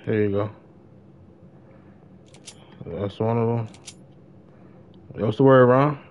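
A man's voice: medium pitch, takes it slowly, narrow, tinny audio. A young adult man chatting casually in street slang as he streams live gameplay. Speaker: game streamer.

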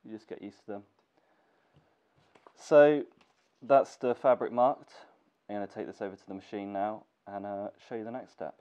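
Congested low-voiced man in a workshop. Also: normal speed, long pauses, clear, resonant tone. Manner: relaxed, thinking aloud with fillers. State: congested from a cold. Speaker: gear maker teaching sewing. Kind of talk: casual tutorial narration. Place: workshop with sewing machine. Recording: dull lo-fi camera audio with faint noise.

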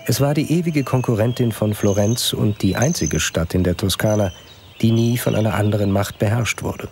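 A man giving calm, measured scripted narration for a documentary voice-over. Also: lowish voice, slight background noise. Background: music under the narration.

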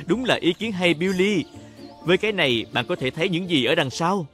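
A man's voice: sits high, normal speed. A man narrating a children's cartoon in speech, talking to the characters like a storyteller for young children. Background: music.

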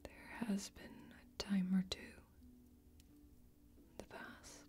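A young woman playing a wry, hospitable fantasy warrior who keeps a tavern, speaking in hushed, drawn-out ASMR role-play. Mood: hushed, mysterious. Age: young adult. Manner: hushed, slow, drawn-out storytelling. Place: small indoor set, close up. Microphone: close microphone.

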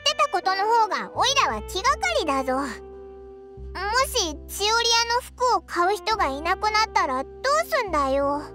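A woman voicing a tiny, naive, outspoken fairy companion in Japanese game acting, squeaky and childlike with boyish wording. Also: sing-song, moderate pace. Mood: concerned. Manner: boyish casual wording, exclamatory. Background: background music.

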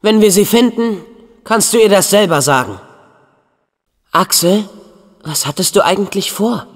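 A man playing an energetic, earnest teenage boy hero in a video game. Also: high-pitched, measured pace. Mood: earnest, curious.

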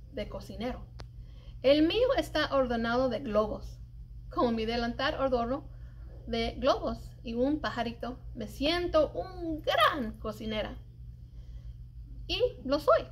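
A middle-aged woman reading a children's picture book aloud as a library storyteller, with expressive, deliberate delivery and drawn-out emphasized words. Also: high voice. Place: indoors in a library children's room. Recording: light background room noise.

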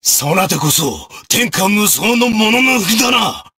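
An actor voicing a brave, martial samurai officer in a video game, with gruff, raspy, declamatory delivery in archaic period speech. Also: male voice, high voice, animated, unhurried, heavily acted. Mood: grave. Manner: forceful, declamatory.

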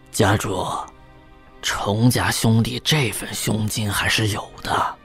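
An actor playing a frail, gloomy old artisan retainer in a wuxia audio drama, husky and gravelly, with measured, sighing delivery. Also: male voice, fairly low pitch, lively intonation, fairly bright tone, soft background music, full character voice. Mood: scornful. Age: older.

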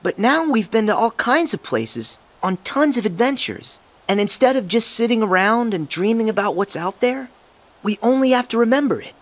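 Eager, lively teenage boy hero. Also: sits high, moderate pace. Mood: earnest, upbeat. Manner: earnest, eager, boyish. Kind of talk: English video game voice acting.

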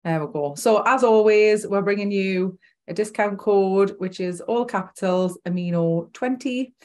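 A woman's voice: mid-range voice, darkish tone. Geordie woman presenting a webinar. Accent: Geordie, Newcastle. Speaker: dentist presenting a product webinar.

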